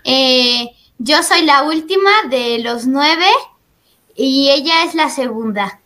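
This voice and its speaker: High-voiced teenage girl, hesitant. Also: takes it slowly, sharp tone. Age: teens. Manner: hesitant, starts with fillers. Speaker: guest.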